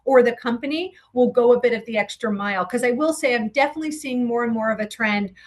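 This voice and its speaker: A woman's voice: a little high, fast. A woman answering interview questions as a guest, conversational and earnest, explaining her advice in a tentative, thinking-aloud way.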